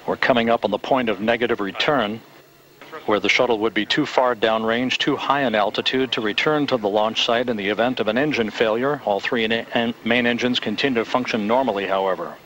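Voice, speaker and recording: Man calling a rocket launch. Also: medium pitch. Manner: steady, measured, detail-heavy. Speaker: launch commentator. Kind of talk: live launch commentary. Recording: noisy broadcast audio with rocket roar.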